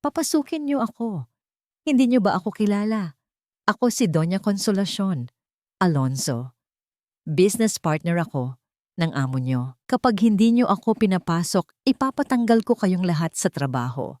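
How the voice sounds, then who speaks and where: Woman as a shrill, haughty old matriarch. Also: expressive, moderate pace, dark tone, no echo, clean studio sound, close to an everyday voice. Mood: indignant, threatening. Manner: pompous, demanding.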